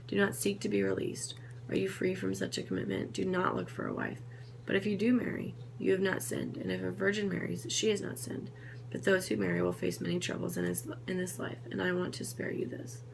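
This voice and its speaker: A woman reading Bible passages aloud in a steady, unhurried way, now and then correcting a slip. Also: lowish voice, creaky, a little hiss or hum, rough recording. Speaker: Bible reader.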